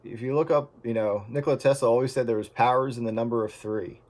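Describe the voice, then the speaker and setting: A man's voice: medium pitch, steady tone, fast, darkish tone, slight background noise. A man chatting casually and musingly with friends over CB radio. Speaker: participant in CB radio chat.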